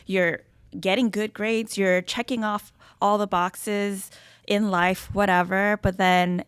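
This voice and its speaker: A woman's voice: mid-range voice, level tone, slow pace, smooth, no echo. A young woman co-hosting a podcast, talking through ideas earnestly and often checking in with "right?". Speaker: podcast co-host. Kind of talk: casual chat.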